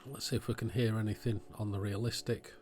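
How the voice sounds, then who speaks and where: Low-voiced man talking through a repair. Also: little pitch movement, quick pace, darkish tone. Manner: thinking aloud, matter-of-fact. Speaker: electronics repair video host. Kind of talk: informal bench repair commentary.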